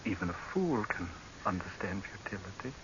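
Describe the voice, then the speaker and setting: An actor playing a resigned, bitter doctor turned would-be assassin, in a terse, dramatic 1930s radio acting style. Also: male voice, on the low side, sing-song, normal speed. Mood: weary, resigned. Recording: muffled, hissy 1930s radio recording.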